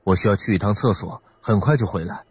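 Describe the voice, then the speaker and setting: A man playing a calm, calculating young man with a measured, courteous manner in an audiobook drama. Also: lowish voice, breathy edge, no room sound. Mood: polite, composed.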